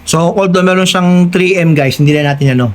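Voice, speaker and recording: A man's voice: high-pitched, brisk. A Filipino man hosting a casual hands-on tutorial vlog, chatting directly to his viewers. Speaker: vlogger hosting an unboxing and installation.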